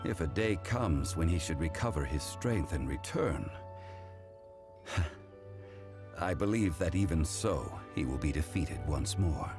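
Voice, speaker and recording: An actor playing an ancient god living as a calm, learned consultant in a video game, speaking in a measured, formal, solemn way. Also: male voice, extremely low pitch, soft background music, heavily acted. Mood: calm, assured. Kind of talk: scripted video game voice acting.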